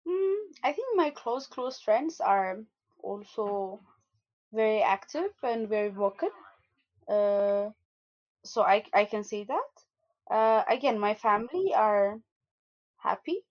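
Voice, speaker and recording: A woman's voice: mid-range voice, animated, slow, pauses often. A young woman, an activist, answering interview questions earnestly, with frequent restarts and fillers. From Ethiopia. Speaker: activist guest. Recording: muffled lo-fi audio.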